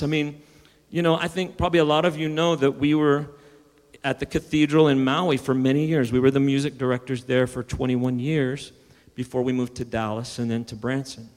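A man's voice: on the high side, darkish tone, long reverb tail. A man preaching a sermon, building emphasis and sometimes restarting his words. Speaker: pastor.

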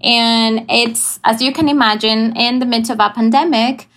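A woman from Venezuela, a missions pastor chatting casually over a video call, laughing often and pausing on fillers. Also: fairly high pitch, steady tone, fairly bright tone. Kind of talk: casual interview chat. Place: family home.

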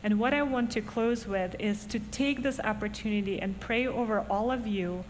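Earnest woman in a church. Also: mid-range voice. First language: Russian. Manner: earnest, measured storytelling. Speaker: guest speaker. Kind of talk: church testimony and appeal. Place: church sanctuary. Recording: echoey hall, faint background noise.

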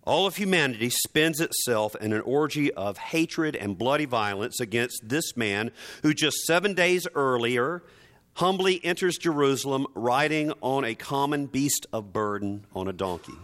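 Guy preaching a sermon, really animated and forceful, theatrical with dry sarcastic asides. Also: medium pitch. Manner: emphatic, theatrical delivery with deliberate repetition for effect. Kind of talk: dramatic, rhetorical Palm Sunday sermon.

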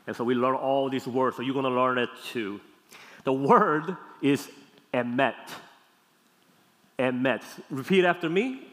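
A man with a Korean accent preaches in a medium-pitched voice that rings through a large, echoing hall. He teaches like a pastor, stressing and repeating key words and pausing to check that listeners follow. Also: a bit bright. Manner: didactic, deliberate phrasing with emphatic repeats and check-in questions. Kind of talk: teaching sermon. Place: large hall, speaking to a congregation. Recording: long hall reverb.

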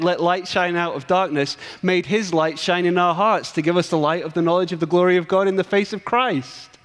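English-accented man preaching in a hall. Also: fairly high pitch. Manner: earnest, rhythmic preaching with emphatic build-ups. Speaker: guest preacher. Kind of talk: sermon. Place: church sanctuary.

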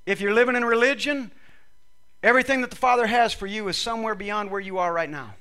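High, bright-voiced preacher losing his voice. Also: fast talker, clear, resonant tone. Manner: emphatic, repetitive preaching with call-and-response phrasing. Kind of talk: sermon with scripture reading. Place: church service with a congregation.

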